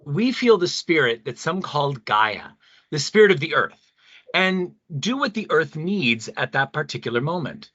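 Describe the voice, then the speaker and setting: A man's voice: on the high side, sing-song, normal speed, sharp tone, no echo, lo-fi sound, exaggerated voice. A man playing an earnest, mystical pastor who argues in a solemn, preachy way in an audio play. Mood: earnest, reverent. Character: earnest, mystical male pastor and spiritual leader. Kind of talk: radio play debate acting.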